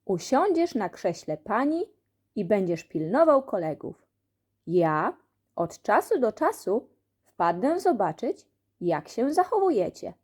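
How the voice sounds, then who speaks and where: A woman's voice: medium pitch, very animated, slow pace, a little mellow, slightly rough, heavily acted. A woman voicing a stern, commanding male school supervisor in a children's storybook. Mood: stern, instructing.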